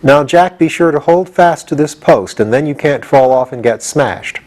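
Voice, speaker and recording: A man plays a young boy adventurer from a storybook, pitched above the reader's own voice. He is practical and bossy, giving brisk instructions and reassurance. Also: lively intonation, a little mellow, close to an everyday voice. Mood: instructing. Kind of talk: audiobook character voice.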